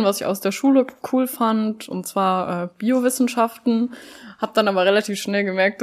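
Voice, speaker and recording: A twenty-two-year-old woman, a student teacher, telling her story in an open, easygoing way. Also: medium pitch. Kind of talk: casual interview answers.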